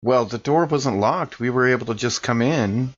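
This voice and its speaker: A man's voice: mid-range voice, normal speed, muffled recording. A man playing a tabletop roleplaying game, informally talking through what his character does and says. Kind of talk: casual in-character roleplay chat.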